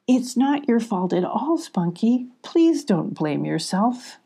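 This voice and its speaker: Woman as an earnest young storybook girl, husky. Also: fairly high pitch, very animated, slow pace, dark tone, pitched above the performer's own voice, exaggerated voice. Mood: comforting.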